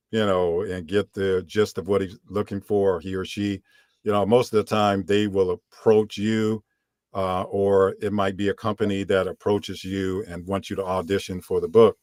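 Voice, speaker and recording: A man with a deep, low voice talks casually about his work. He rambles and stops often to say "you know" and "um". He is recorded close to the mic in a dry room. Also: no room sound. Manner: relaxed, rambling, many fillers. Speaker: audiobook narrator and voiceover coach. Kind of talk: casual interview answers.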